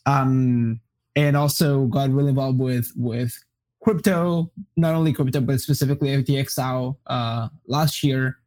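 Relaxed young man from Colombia. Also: mid-range voice, measured pace. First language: Spanish. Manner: relaxed, many fillers and restarts. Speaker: guest. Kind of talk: casual interview.

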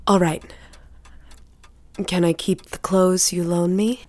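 A woman's voice: on the low side, steady tone, slow, darkish tone. A woman playing a nervous, prickly, reclusive housewife in a period audio drama, blunt and guarded. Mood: demanding, wary.

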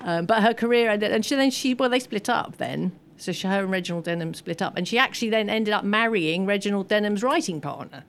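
A woman talks as a film historian at a post-screening discussion. She explains at length in an enthusiastic, conversational way, at an average pitch for a woman. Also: brisk, few pauses, slight background noise. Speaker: expert guest, film historian.

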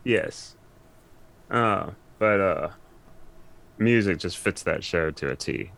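Deep-voiced man chatting as podcast guest. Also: expressive, slow, creaky, a bit bright. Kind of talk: casual chat.

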